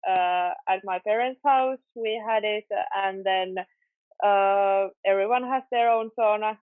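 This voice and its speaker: A young woman from Finland speaking English with a Finnish accent as a podcast guest, slow and hesitant with many fillers. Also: mid-range voice, no echo. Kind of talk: casual interview chat. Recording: tinny phone-like call audio.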